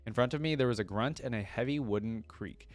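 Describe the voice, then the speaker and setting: A man's voice: medium pitch, a little fast. A man hosting a reaction video, reading lore aloud and adding casual, rambling commentary.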